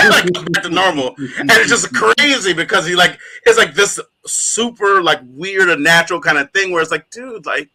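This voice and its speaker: A man co-hosting a casual podcast, talking in an animated way with frequent laughs and plenty of fillers. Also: high-pitched, normal speed, poor audio. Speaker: podcast co-host. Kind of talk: casual chat.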